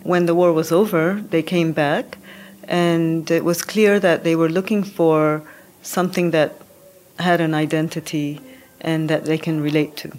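A woman who grew up in Japan, a furniture designer, speaking calmly and reflectively in an interview with pauses and fillers. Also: low-pitched. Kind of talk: interview answers.

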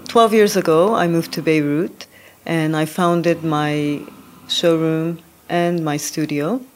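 A woman who grew up in Japan, a furniture designer, speaking calmly and reflectively in an interview with pauses and fillers. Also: low voice, slight background noise. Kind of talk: interview answers.

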